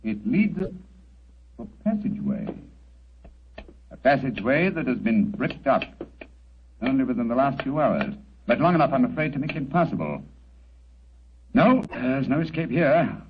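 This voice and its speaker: Give a brilliant, composed Victorian English detective played by a man in an old radio play. The delivery is animated and commanding, with precise British diction. The sound is a dull, noisy 1940s broadcast. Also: mid-range voice, normal speed, long pauses, natural voice. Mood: composed, analytical. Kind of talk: 1940s radio drama acting.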